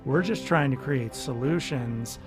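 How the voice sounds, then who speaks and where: This man has a middle-pitched voice and talks loosely and earnestly, letting his thoughts run on. Music plays clearly behind him. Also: unhurried. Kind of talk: casual promotional talk.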